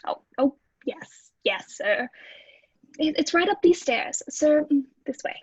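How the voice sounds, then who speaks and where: An actress plays a meek British boarding-house landlady in an old-time radio drama. Her voice is very high and hushed, and she stammers deferentially. The sound is dry and a little dull. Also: animated, slow, no room sound. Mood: timid, eager. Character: timid, eager-to-please married landlady in an old British lodging house.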